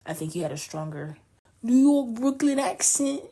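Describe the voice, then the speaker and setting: A woman's voice: a little high, big pitch swings, normal speed, dark tone. A woman from North Carolina co-hosting a casual podcast, reading news items aloud and then riffing on them in chatty conversation. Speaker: podcast co-host.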